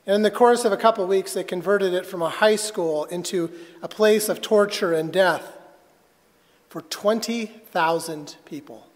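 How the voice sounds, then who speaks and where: A man preaching a sermon as a pastor, telling a personal story earnestly and haltingly, with dramatic pauses. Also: high-pitched. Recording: reverberant hall.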